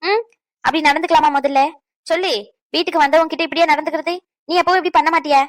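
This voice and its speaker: A woman voicing a grandmother who gently coaxes and questions her granddaughter. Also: high-pitched, steady tone, quick pace, lightly acted. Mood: probing. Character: soft probing grandmother.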